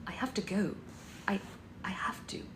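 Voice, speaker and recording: A woman voicing a young man who speaks softly and tearfully in a storybook reading. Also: mid-range voice, moderate pace, echoey room, whispered, close to an everyday voice. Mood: sorrowful. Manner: soft, tearful. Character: young man, tearful groom leaving his bride.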